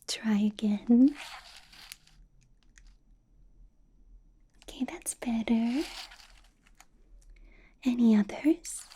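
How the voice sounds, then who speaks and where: A woman playing a doting, possessive vampire boss in a soft, breathy whispered ASMR roleplay, coaxing and fussing with a lightly bossy edge. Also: medium pitch. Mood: playfully stern. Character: doting, possessive female vampire boss, older than she looks.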